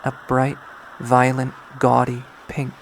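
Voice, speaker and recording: A man reading a visual novel aloud as dramatic first-person narration, in a measured way. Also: mid-range voice, completely flat delivery, vocal fry, low-quality recording. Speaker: game player reading aloud. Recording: faint background noise.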